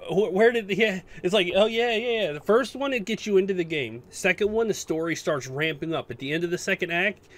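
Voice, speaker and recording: A man's voice: sits high, brisk, few pauses, fairly bright tone. Enthusiastic, sweary male gaming podcast co-host. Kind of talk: casual chat about video games.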